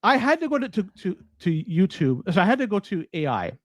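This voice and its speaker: High-pitched, animated Canadian man, profane ranter. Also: brisk, no echo, studio quality. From Toronto, Canada. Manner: profane, incredulous, ranting. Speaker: podcast co-host. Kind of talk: casual, unscripted podcast banter.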